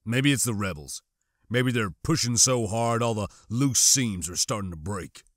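Man voicing a low, grave, cautious stormtrooper. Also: lively intonation, no echo, clean studio sound. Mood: stern warning. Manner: slow, firm, serious. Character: grave, wary male stormtrooper, guarded and cautioning.

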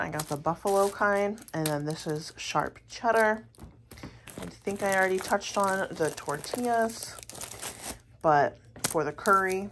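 A young American woman narrating a casual vlog in a chatty, rambling way. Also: medium pitch. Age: young adult. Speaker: vlogger. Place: indoors at home, kitchen table. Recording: clear background noise, handheld home recording.

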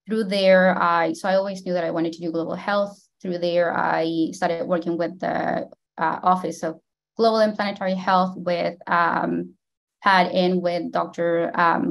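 A woman's voice: lowish voice, vocal fry. A woman in her thirties with a Chilean accent, a native Spanish speaker, recounting her career as a panelist on a video call. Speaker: panelist, midwife. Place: indoor room, video call.

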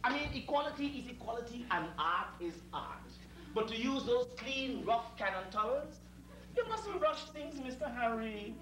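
A high-pitched middle-aged Trinidadian male servant in a stage comedy, sly and wordy beneath his deference. He speaks with elaborate mock solemnity. The sound is a roomy, noisy old tape of a theatre performance. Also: sing-song, normal speed. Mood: incredulous, declaiming. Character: deferential yet sly, verbose retired calypsonian hotel servant. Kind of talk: live stage comedy acting. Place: theatre stage before an audience. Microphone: distant camera microphone.

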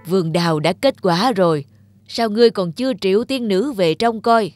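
A man's voice: sits high, moderate pace, darkish tone, natural voice. Guy doing a stern old emperor of heaven, cartoon folk tale, bossy and formal, music under it. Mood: angry, commanding. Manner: imperious, formal. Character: stern old male Jade Emperor, commanding heavenly ruler. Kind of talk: animated fairy tale acting.

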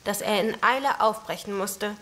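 A woman's voice: medium pitch, moderate pace, a bit bright, natural voice. A woman playing a polite, matter-of-fact fantasy messenger with formal, old-fashioned delivery in an audio drama. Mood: polite, businesslike. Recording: clear background noise.

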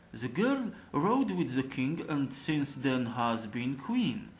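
A man's voice: on the high side, low-quality recording. A man narrating a scripted folk tale as a storyteller.

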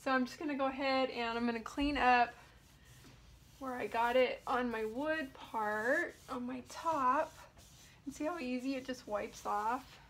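Bright, high-pitched woman chatting casually. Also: unhurried. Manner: informal, thinking aloud. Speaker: DIY furniture painting vlogger. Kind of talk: casual tutorial chat while working. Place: indoor home workspace.

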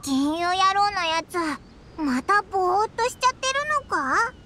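A woman voicing a tiny, cheeky floating girl companion in a game, with a squeaky childlike voice and blunt, boyish, excitable talk. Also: moderate pace, a little hiss or hum, heavily acted. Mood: chatty. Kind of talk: game voice acting. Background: game soundtrack music.

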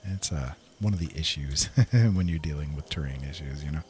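A man's voice: very deep voice, moderate pace, slight background noise. A man giving casual, easygoing gameplay commentary, musing and talking to himself. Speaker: gameplay host. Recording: loud game music behind. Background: game music and sounds.

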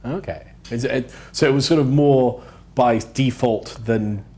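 A man's voice: medium pitch, a little slow, warm tone. A young man hosting an interview, polite and eager, a little hesitant with fillers between his questions. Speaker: interviewer, host. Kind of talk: hosted interview. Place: office room with city view window.

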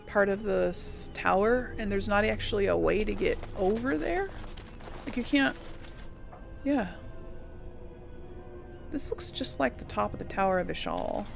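A woman playing a game and commenting casually, excitable, talking to herself and her characters, sometimes answering in character. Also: mid-range voice, measured pace, gravelly, long pauses, over music. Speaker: gamer playing and role-playing for an audience.

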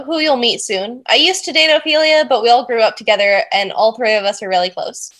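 A woman's voice: fairly high pitch, fairly bright tone. A woman talking casually as a player on a role-playing game podcast. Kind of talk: casual introduction.

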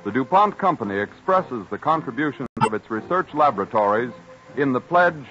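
A man's voice: mid-range voice, animated, a little fast, a bit bright, soft background music. A man with an American accent reading a formal, declamatory scripted announcement in old-time radio style. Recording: muffled, lo-fi old radio broadcast.

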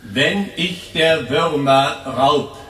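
A solemn atheist declaims questions in a radio play. His bright, forceful voice rings out from far off in an echoing empty church, extremely slowly. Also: a little high, a little hiss or hum, exaggerated voice. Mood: solemn, searching.

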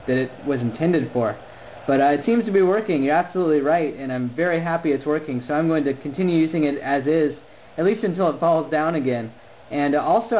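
A man making conversation as an amateur radio operator, phrasing things deliberately and articulately. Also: a little high. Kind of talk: conversational ham radio exchange. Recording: dull lo-fi sound, faint noise.

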